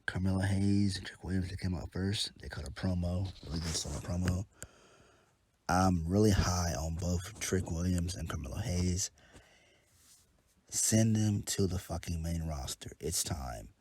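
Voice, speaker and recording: A man's voice: low-pitched, unhurried, a little mellow, a little hiss or hum. A man casually reviewing a wrestling show, congested from a head cold, rambling with lots of ums and the odd swear. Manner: laid-back, rambling, frequent ums and uhs, swears casually.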